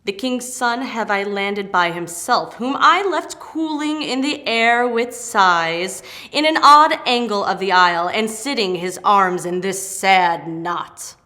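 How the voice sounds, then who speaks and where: Lady playing a proud airy shakespeare spirit, bright ringing voice, emphatic and declamatory, takes her time. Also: mid-range voice, expressive, slow pace, hardly any pauses. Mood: dutiful, reporting. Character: Ariel, eager airy spirit servant, proud and dramatic. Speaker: actor in dramatic reading. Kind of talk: Shakespearean dramatic reading.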